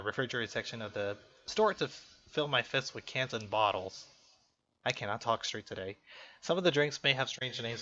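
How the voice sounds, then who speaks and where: A man's voice: mid-range voice, sharp tone, a little hiss or hum. A man doing casual, jokey let's play commentary, reacting aloud to a game and reading its text. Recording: muffled lo-fi mic, music behind.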